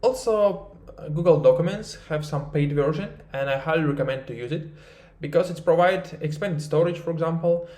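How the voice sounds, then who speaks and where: A man with a Russian accent, a native Russian speaker, teaching a screen-recorded tutorial in English. He talks haltingly, with many fillers and restarts. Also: a little high, dark tone, reverberant. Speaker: marketing research instructor.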